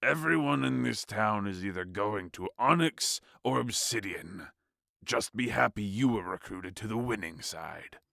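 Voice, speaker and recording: Casting: male actor for cold, contemptuous king, low creaky voice with a hard edge, unhurried, audio drama villain. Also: expressive, sharp tone, no room sound, studio quality, heavily acted. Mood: smug, contemptuous. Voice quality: gravelly. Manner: slow, disdainful. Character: arrogant, cruel warlord king, cold and contemptuous. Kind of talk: audio play acting.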